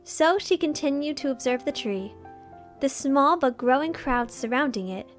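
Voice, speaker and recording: A woman's voice: high-pitched, expressive, normal speed, faint music behind. A woman reading a fan fiction story aloud as narrator, in a scripted storytelling style.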